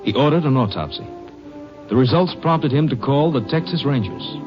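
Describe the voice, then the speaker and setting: A man's voice: mid-range voice, animated, normal speed, music in the background. A man reading scripted radio crime drama narration in a terse, solemn, factual way. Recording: muffled old radio recording.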